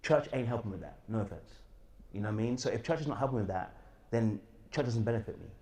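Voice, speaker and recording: Frank, relaxed man from Birmingham. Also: fairly low pitch, quick pace. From Birmingham, England. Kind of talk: casual panel discussion.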